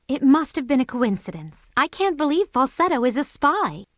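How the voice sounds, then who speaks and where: High-voiced, guarded young rebel woman. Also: sing-song, moderate pace, no room sound, studio quality. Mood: guarded, defensive. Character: young female rebel spy, guarded and tender. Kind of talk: video game cutscene acting.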